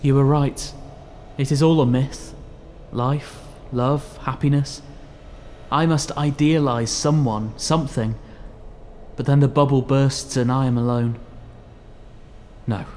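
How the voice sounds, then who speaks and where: Heartbroken young male poet man acting, brooding and disillusioned, unhurried mid-pitched delivery for a stage morality play. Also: fairly even intonation, a little mellow, lightly acted. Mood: despairing. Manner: brooding, dramatic. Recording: slightly noisy stage recording.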